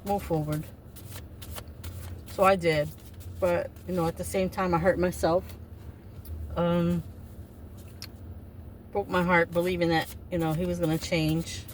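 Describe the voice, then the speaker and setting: A woman's voice: fairly low pitch, little pitch movement, a little slow, pauses often. A woman in her fifties doing an oracle card reading, talking in a rambling, chatty way that often interrupts itself. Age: 50s. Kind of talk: casual spiritual card reading. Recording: distant mic with faint noise.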